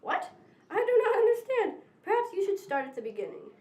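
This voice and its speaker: A woman's voice: extremely high pitch, big pitch swings, brisk, a little mellow, roomy sound. A woman playing an earnest, inquisitive detective in an amateur audio drama.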